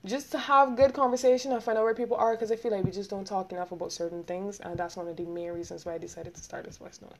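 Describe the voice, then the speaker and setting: A young woman with a Barbadian accent talking quickly and rambling, hosting a casual solo podcast and laughing often. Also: medium pitch, a little mellow. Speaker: podcast host.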